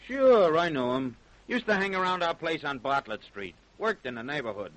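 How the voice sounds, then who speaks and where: A nervous, talkative American butcher in an old-style radio crime drama. His voice is on the high side and swoops expressively. He sounds earnest and speaks in plain street slang. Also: big pitch swings, normal speed, fairly bright tone, low-quality recording. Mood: familiar, emphatic. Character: nervous, talkative butcher eager to clear himself.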